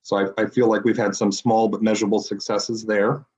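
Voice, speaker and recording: A man with a deep voice giving a brisk citizens council report at a city meeting. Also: little pitch movement, darkish tone.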